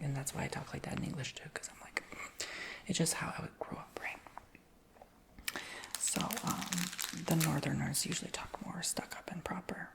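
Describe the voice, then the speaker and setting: Low creaky whispering woman. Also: rough recording. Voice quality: soft whisper with creaky edges. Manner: rambling, casual, fillers like "like"; reads names and titles slowly. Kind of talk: whispered ASMR show-and-tell.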